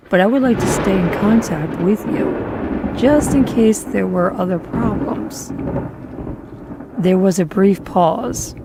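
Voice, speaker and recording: A woman giving a slow, somber first-person horror story narration. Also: medium pitch, creaky, noisy background.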